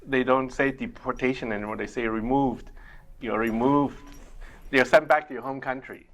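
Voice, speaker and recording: A middle-aged man whose first language is Mandarin Chinese, working as an immigration attorney and answering interview questions in a measured way, with many pauses to think. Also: medium pitch, fairly even intonation, a bit bright. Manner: measured, explains step by step with frequent uh pauses. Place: community TV studio.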